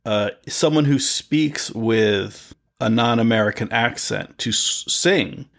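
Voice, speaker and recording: A man co-hosting a podcast, asking earnest questions with halting restarts. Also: medium pitch, creaky, fairly bright tone. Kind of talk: casual podcast chat.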